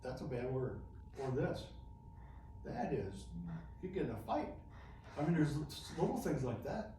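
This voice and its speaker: A man's voice: mid-range voice, big pitch swings, a little slow, warm tone. Middle-aged man, chatty veteran interviewee, laughs through his stories, miked from afar in a noisy room. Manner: chatty, laughs often, restarts mid-phrase. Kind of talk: casual storytelling interview. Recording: echoey room, distant mic, noisy.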